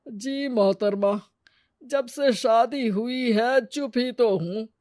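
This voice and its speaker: A woman's voice: fairly high pitch, slow pace, darkish tone, no echo, clean studio sound. A woman playing a henpecked, loving old grandfather in a cartoon story, wry and mock-complaining. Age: older. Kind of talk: cartoon moral story acting.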